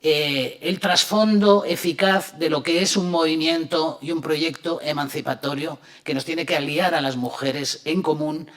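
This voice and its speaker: A woman's voice: low-pitched, steady tone, a little slow, hardly any pauses. A woman panelist in an academic discussion, speaking emphatically and argumentatively. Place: university lecture hall.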